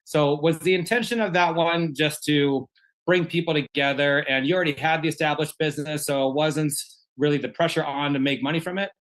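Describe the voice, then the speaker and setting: A man's voice: fairly high pitch, steady tone, fairly quick, fairly bright tone. A man hosting an interview in a conversational style, asking questions of a guest. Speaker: host, interviewer.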